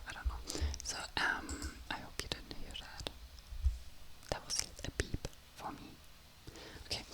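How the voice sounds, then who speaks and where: Casting: ASMR book reviewer, soft breathy whisper throughout, unhurried and hesitant, feeling for words. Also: poor audio. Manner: halting, searching for words in a non-native language.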